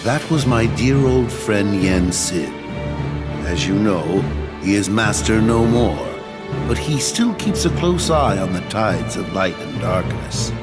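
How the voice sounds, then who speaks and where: A stern, caring middle-aged mentor with a lowish voice. He speaks solemnly and formally, like the master of young warriors in a fantasy game, over loud music. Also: expressive. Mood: solemn, troubled. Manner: formal, solemn, measured old-fashioned phrasing. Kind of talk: dramatic fantasy game cutscene acting.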